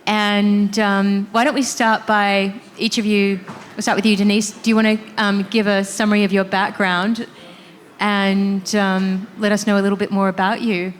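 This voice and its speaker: A woman's voice: mid-range voice, level tone, moderate pace. A woman moderating a panel, introducing the guests in a chatty way with many fillers. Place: conference hall. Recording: reverberant hall with faint noise.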